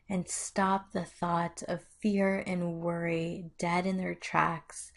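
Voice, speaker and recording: A woman leading a guided meditation with measured, instructional phrasing and long pauses. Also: fairly low pitch, darkish tone.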